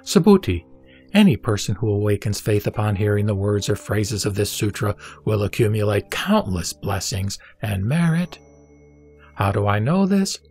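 A man playing the Buddha, a solemn spiritual teacher, reciting scripture in a measured audio drama delivery. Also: lowish voice, very animated, darkish tone, slightly rough, faint music behind, full character voice. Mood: solemn, instructive. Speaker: scripture reader.

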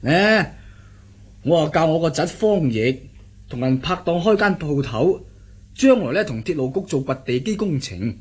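A crafty middle-aged adviser in a Cantonese radio play. His husky voice swings up and down as he coaxes and teases with a Hong Kong accent. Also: on the high side, big pitch swings, normal speed. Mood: amused, coaxing. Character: sly, chuckling middle-aged adviser and scheming uncle. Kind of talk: radio drama acting.

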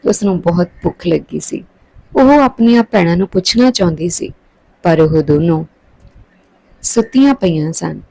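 Mellow, low-toned woman storyteller. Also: no echo, rough recording. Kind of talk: scripted story narration.

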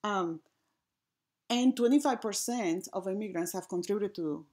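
A woman from gives a reflective presentation over slides, pausing often with ums and restarting her phrases. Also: mid-range voice, a little mellow. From Puerto Rico. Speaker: design lecturer.

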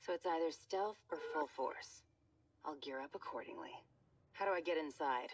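A woman's voice: low voice, normal speed, dry recording, recorded from a distance. A woman voicing a confident young military covert agent in a video game, speaking in a clipped, cool, self-assured way. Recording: loud music behind.

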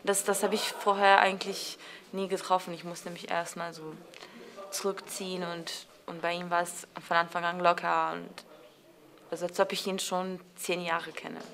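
A woman's voice: fairly low pitch, level tone, normal speed, a bit bright. A young woman, an actor being interviewed, who speaks earnestly and often restarts her sentences. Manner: searching for words, restarts often. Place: indoor theatre space with brick wall.

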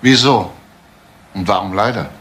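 A man's voice: fairly low pitch, a little slow, a bit bright, a little hiss or hum. A male actor playing a composed police detective in a German crime radio play, questioning in a methodical, matter-of-fact way. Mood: calm, probing.

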